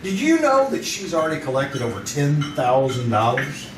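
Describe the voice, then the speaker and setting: An actor playing a brash, wry newspaper crime photographer in an old-time radio drama staged live. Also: male voice, on the high side, big pitch swings, normal speed, noisy background. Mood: exasperated. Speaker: amateur radio troupe actor. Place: small theater, live on stage. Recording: roomy theater stage, distant microphone.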